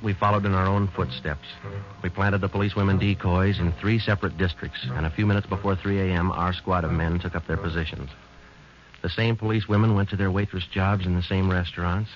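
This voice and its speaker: A man playing a police detective who narrates his case in a flat, clipped, matter-of-fact 1940s radio drama style. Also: deep voice, close to an everyday voice. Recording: muffled, hissy old radio broadcast.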